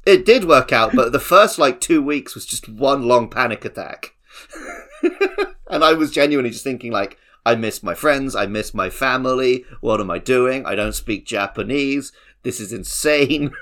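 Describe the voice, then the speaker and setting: A man's voice: medium pitch, lively intonation, moderate pace, a bit bright. A man with an English accent co-hosting a podcast, telling stories in a casual, conversational way. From English countryside. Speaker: podcast co-host.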